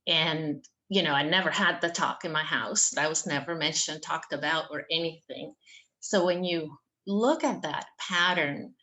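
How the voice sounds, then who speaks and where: Deep-voiced woman from Venezuela. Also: unhurried, sharp tone. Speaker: church formation director. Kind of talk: panel discussion. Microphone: video call.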